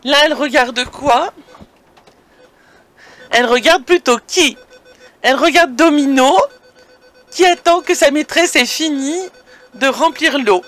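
A woman's voice: sits high, measured pace, pauses often, fairly bright tone, faint music behind. A woman casually narrating a vlog from a camper van, chatty and speaking directly to viewers. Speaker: vlogger. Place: inside a moving or parked camper van. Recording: vehicle engine and road noise.

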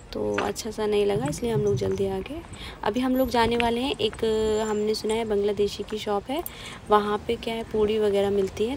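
A woman narrates a casual walking vlog, chatting as she points out shops and sights. Her voice has a gravelly edge. Passing traffic and street noise are clearly heard behind her. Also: mid-range voice. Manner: chatty, plainly pointing things out while walking. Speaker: vlogger. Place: outdoors on city streets.

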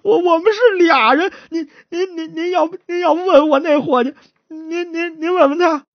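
A woman puts on a servile, talkative guard in an old-time tale. He pleads in a high, whining, stammering voice that swoops widely. The sound is close and dry, slightly muffled. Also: big pitch swings, moderate pace, no echo. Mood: tearful, desperate. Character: cowering, chatterbox male yamen guard pleading innocence.

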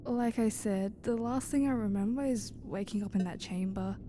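A woman's voice: mid-range voice, normal speed, mellow, a little hiss or hum, natural voice. A woman playing a bewildered, innocent amnesiac stowaway in a sci-fi audio drama, hesitant and naive in her speech. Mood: unsure, timid.

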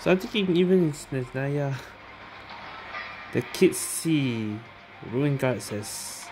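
A woman's voice: extremely low pitch, expressive, slow pace, rough recording. A woman giving casual gameplay commentary, musing aloud in hesitant phrases. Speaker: gaming streamer. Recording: game music behind commentary.

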